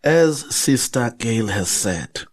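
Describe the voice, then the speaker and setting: A man's voice: medium pitch, lively intonation, takes it slowly. A man, a Zulu speaker, chatting as a guest writer in a casual interview, thoughtful and anecdotal, often restarting his sentences.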